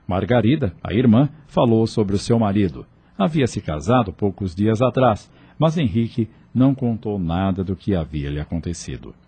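A man's voice: fairly low pitch, dark tone, husky. A man narrating a scripted radio drama in a dramatic storytelling style.

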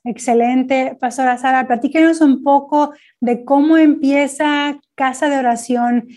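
High-voiced woman podcast host. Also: steady tone, a little slow, darkish tone. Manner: formal, welcoming, repeats words while framing questions. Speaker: podcast host, ministry coordinator. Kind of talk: podcast interview.

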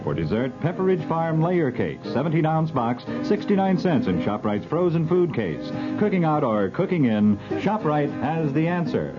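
A man's voice: fairly high pitch, big pitch swings, normal speed, few pauses. A man reading a 1970s radio supermarket commercial, listing items as an announcer. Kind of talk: 1970s radio retail ad read. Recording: dull 1970s radio recording. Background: loud guitar music.

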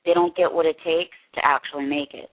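Interview guest, woman, deep register, candid and halting, phone-quality audio. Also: steady tone. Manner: earnest, halting, many restarts. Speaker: interview guest, production company head. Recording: tinny phone line.

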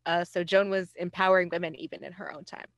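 A woman's voice: lowish voice, level tone, quick pace, dry recording. Casual woman podcaster with dry, close sound. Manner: self-deprecating, informal, wry asides. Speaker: podcast host. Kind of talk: casual history storytelling.

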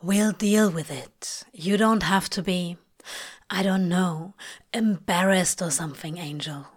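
A woman voicing a laid-back, plain-spoken man in a storybook, gently reassuring and a little hesitant. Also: slow pace. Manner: low-key, matter-of-fact, hesitant.

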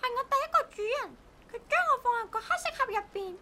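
Shrill, very high-pitched talking parrot. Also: female voice, expressive, fast, noisy background. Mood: plaintive. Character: talking parrot, chatty, bossy, proud, with a hard past. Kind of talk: Cantonese radio drama acting.

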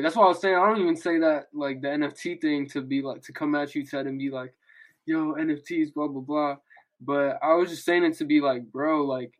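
A man's voice: a little high, rough recording. A man in casual conversation as a podcast panelist, talking in loose, repetitive slang. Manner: slangy, frequent "bro" and "like". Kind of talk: casual chat.

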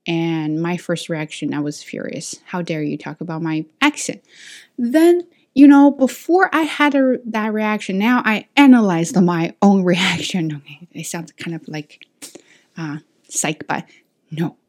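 Woman talking on her own podcast, english not her first language, kinda low voice, lots of uhs and restarts. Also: measured pace. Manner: thinking aloud, many fillers and restarts. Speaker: podcast host and teacher. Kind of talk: casual solo podcast talk. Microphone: camera mic.

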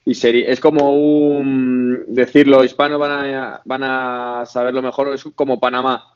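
Hombre joven madrileño, sonido apagado. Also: male voice, mid-range voice, little pitch movement, measured pace, a little mellow, clean tone, dull audio. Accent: Spain Spanish. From Spain, Madrid. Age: 20s. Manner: hesitant, many fillers and restarts. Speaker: podcast guest. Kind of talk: casual interview chat.

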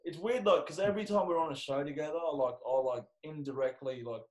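A man's voice: on the high side, fast. An Australian man hosting a casual podcast chat, with a blunt, matey, sweary way of talking. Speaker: podcast host and comedian. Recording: echoey room sound.

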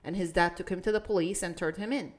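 A young woman from Iraq, co-hosting a podcast and telling a story in casual chat, with halting restarts and fillers. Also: fairly low pitch, steady tone. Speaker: podcast co-host.

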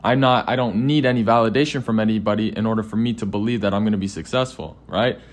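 A man speaking as a podcast guest, earnest and halting, full of restarts as he answers. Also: lowish voice. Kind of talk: casual interview.

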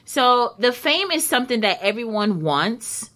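A woman's voice: fairly high pitch, big pitch swings, a little slow, fairly bright tone. A woman in her thirties hosting a casual internet radio talk show, playful and chatty, speaking into a studio microphone. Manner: playful, hypes listeners, restarts and fillers.

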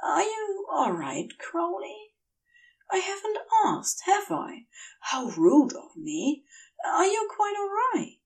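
A woman voicing a gentle, fussily polite male angel who rambles affectionately in a dazed, feverish way in an audio story. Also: very high-pitched, huge pitch swings, takes it slowly, far from the mic, pitched above the performer's own voice, full character voice. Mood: concerned.

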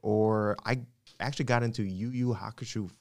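Laid-back low-voiced man from the Philippines. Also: steady tone, normal speed. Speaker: podcast guest. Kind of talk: casual chat.

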